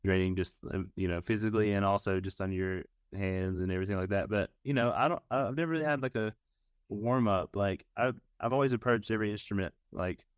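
A man in his thirties, a band's bass player, answering interview questions in an easygoing way. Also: brisk, clear, resonant tone, no room sound. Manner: easygoing, lots of "you know" and "like". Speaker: band bassist, guest. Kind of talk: casual interview.

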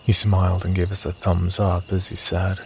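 A man's voice: low voice, completely flat delivery, moderate pace, vocal fry, a little hiss or hum. A man playing a wry, sardonic young narrator who tells a horror story in the first person. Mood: wry, uneasy.

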